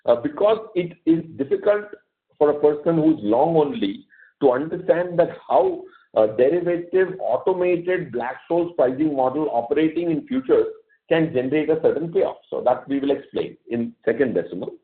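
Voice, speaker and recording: A man's voice: fairly high pitch, animated, normal speed. A courteous man with an Indian accent, answering investor questions as a company executive on an earnings call, explaining off the cuff and repeating words for emphasis. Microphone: conference call line. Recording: dull lo-fi conference call line.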